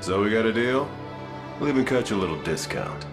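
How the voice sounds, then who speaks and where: An actor playing a cocky, mocking sellsword with a folksy, sardonic drawl in a video game. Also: male voice, lowish voice, lively intonation, fairly quick, natural voice. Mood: cocky bargaining. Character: cocky, mocking male mercenary for hire. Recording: game music clearly behind.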